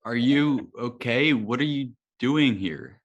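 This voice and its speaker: A man's voice: medium pitch, lively intonation, takes it slowly, breathy edge, highs cut off. A man playing an earnest, brainy young rookie superhero with a hesitant manner, at a script table read. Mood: confused, shaken.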